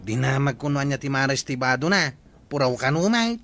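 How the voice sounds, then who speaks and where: A man's voice: medium pitch, animated, normal speed, a bit bright. A man playing a cheeky, skeptical villager who teases and argues, with an Ilocano accent, in a comedic radio drama. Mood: mocking, questioning. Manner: teasing, argumentative. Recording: faint noise and ambience.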